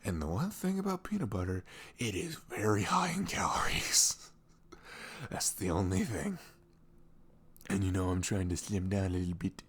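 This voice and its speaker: A man with a deep, husky voice plays a caring, affectionate boyfriend in an intimate ASMR roleplay. His delivery swings freely in pitch, rambling and playful. Breathy asides slip toward a whisper, keeping it casual and close. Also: sing-song, normal speed, pauses often. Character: sweet, caring boyfriend chatting the morning after. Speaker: audio roleplay performer.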